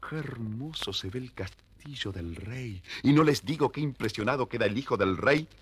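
A man's voice: mid-range voice, lively intonation, moderate pace, husky. A man narrating a scripted fairy tale expressively for young listeners. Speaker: storyteller, narrator. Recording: vintage story record with music behind.

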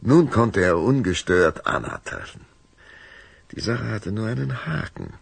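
A man narrating a children's radio play in an expressive, suspenseful storytelling style. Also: fairly low pitch, measured pace, creaky, slightly rough, no echo.

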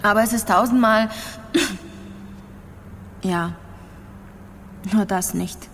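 An actress playing a gravely ill, resigned immigrant woman in a radio drama, speaking simply and dreamily. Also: medium pitch, very slow, fairly bright tone, slight background noise. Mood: nostalgic, bleak. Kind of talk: radio drama dialogue and monologue.

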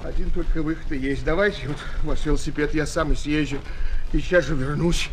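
An actor playing a whining, wheedling street swindler in a 1970s Soviet children's radio play, theatrical and slangy, swinging between bluster and wailing. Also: male voice, a little high, fairly even intonation, a little fast, close to an everyday voice. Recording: faint noise, old flexi-disc record.

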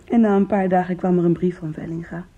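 An actress playing an anguished young woman in a Dutch radio play, confiding in her lover with halting, intimate emotion. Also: fairly low pitch, steady tone, close to an everyday voice. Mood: confiding, bitter. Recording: dull, muffled old radio recording.

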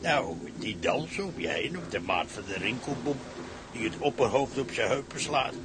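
An actor playing a salty ship's cook who relishes gruesome yarns in colourful slang, in a Dutch radio drama. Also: male voice, mid-range voice, expressive, normal speed, lightly acted. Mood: ghoulish glee. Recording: clear background noise, outdoor ship ambience.

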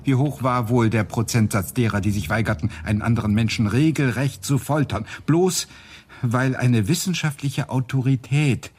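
A man's voice: medium pitch, normal speed, darkish tone, slightly rough, lightly acted. An actor playing a probing male scientist in a radio drama, putting insistent rhetorical questions. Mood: probing, challenging. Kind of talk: 1990 radio drama acting.

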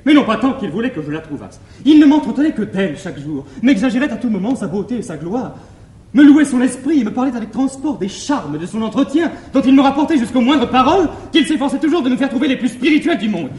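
A lovestruck young gentleman of classic French farce. His very high voice races along in anxious, effusive theatrical declamation. The old stage recording is roomy and echoing. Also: big pitch swings, fast talker. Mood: rapturous, effusive. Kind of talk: classical stage comedy acting.

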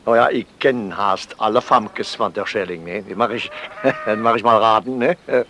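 An actor playing a jovial, wheedling pedlar in a radio play, chuckling and repeating himself with a German accent. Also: male voice, lowish voice, moderate pace, pushed and bright, slight background noise. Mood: teasing. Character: jovial wheedling foreign pedlar with a cabinet on his back.

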